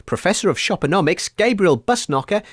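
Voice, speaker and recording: Man, comedy radio host, mock-formal, lively intonation, dry sound. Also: high voice, brisk, slightly rough, dry recording. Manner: mock-formal presenter, bemused.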